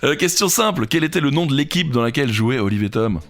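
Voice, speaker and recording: A man's voice: mid-range voice, animated, quick pace, a bit bright. A man co-hosting a quiz podcast in a casual, light-hearted, joking style. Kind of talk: casual comedic quiz banter.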